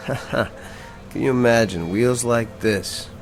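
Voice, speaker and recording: Gravelly, cocky streetwise young man. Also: mid-range voice, takes it slowly. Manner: slangy, cocky, streetwise speech. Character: brash, resentful working-class young man courting a woman. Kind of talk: radio drama acting. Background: music.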